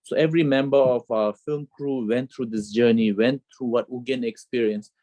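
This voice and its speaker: A man's voice: mid-range voice, steady tone, normal speed, dark tone, no echo. Earnest man on a video call. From Bhutan. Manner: earnest, repeats phrases, frequent "you know". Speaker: film director. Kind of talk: remote interview, reflective storytelling. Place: home with children nearby.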